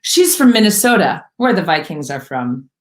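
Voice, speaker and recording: A woman voicing an excited young Mexican woman who works at a hostel, speaking in a gushing, high and loud way. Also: moderate pace. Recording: roomy echo.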